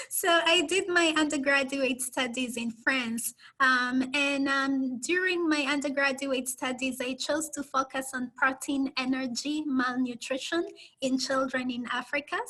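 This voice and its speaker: A woman with a Kenyan accent, a public health professor, telling her career story on a video call with many "um"s. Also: sits high. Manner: warm, measured storytelling with many "um"s. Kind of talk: interview answers on a video call.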